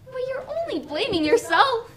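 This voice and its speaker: Woman acting a high-pitched, taunting spirit on stage. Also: sing-song, crowd noise. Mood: accusing, mocking. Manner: theatrical, taunting, drawn-out delivery. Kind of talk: stage acting in a one-act play. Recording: noisy live stage recording.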